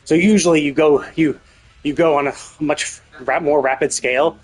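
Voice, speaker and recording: A man streaming a game, casually rambling and thinking out loud as he commentates. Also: a little high, fairly even intonation, normal speed. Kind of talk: casual live stream commentary, speculating aloud.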